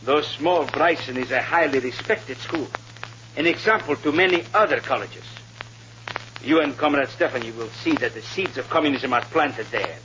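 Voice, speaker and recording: An actor playing a stern, suspicious male Soviet agent who gives orders in a measured, formal way in an old radio drama. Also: mid-range voice, animated, natural voice. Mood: commanding. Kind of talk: 1950s radio drama acting. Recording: muffled, hissy old radio recording.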